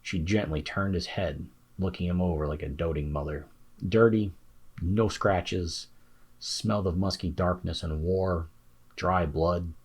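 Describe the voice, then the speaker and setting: A man with a low, deep voice and a husky edge narrates a fantasy audiobook. His reading is scripted storytelling, carrying action and description. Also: a little slow.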